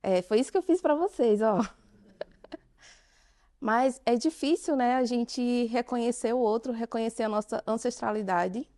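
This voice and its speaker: Woman, Brazilian Portuguese accent, fairly high voice, conference talk, engaging and emphatic. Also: warm tone. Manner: engaging, questions the audience, emphatic pauses. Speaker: conference speaker.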